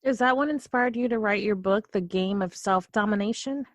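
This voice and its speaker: A woman's voice: medium pitch, moderate pace, dry recording. A woman hosts a podcast interview, asking questions with eager, affirming interest. Her voice sits close and dry, with no room sound around it. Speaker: podcast host. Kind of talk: interviewing a guest.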